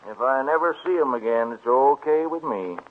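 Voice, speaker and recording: A man's voice: mid-range voice, animated, normal speed, slight background noise, close to an everyday voice. An actor playing a chatty, nosy small-town postman with a folksy, colloquial way of talking in 1940s radio drama. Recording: tinny old radio recording.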